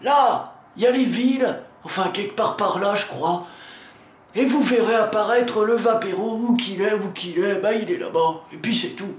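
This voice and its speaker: A man's voice: sits high, normal speed, reverberant, lightly acted. A man playing a grumpy, foul-mouthed old riddle-keeper from a lighthouse, grumbling and scolding the viewer through a sore throat. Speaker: channel host announcing meetups. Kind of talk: comic character sketch.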